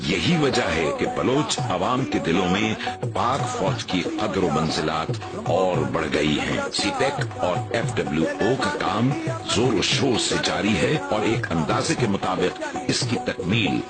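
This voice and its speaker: A man giving formal, scripted documentary narration in measured, formal Urdu diction. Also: low voice, lively intonation. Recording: voice-over under loud music.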